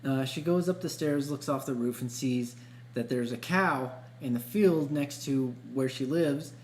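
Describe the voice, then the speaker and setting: A man podcast co-host tells stories casually, in an easygoing conversational style. His pitch is average for a man. The recording is echoey, with a faint hum behind him. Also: unhurried. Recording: echoey room with hum and noise.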